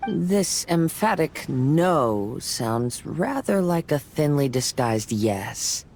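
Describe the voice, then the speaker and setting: A woman voicing a composed, courteous young man in a video game, gentle and observant, with a measured, refined manner. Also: low voice, very animated, slight background noise, heavily acted. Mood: calm, observant.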